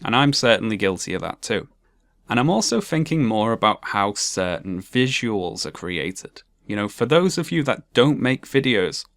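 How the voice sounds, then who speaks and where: A young man with a monotone, dryly sarcastic delivery, narrating a commentary video essay. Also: on the low side, dry recording.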